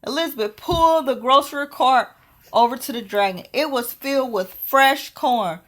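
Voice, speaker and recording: A woman reading a children's picture book aloud as storyteller, with expressive, deliberate delivery. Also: high voice.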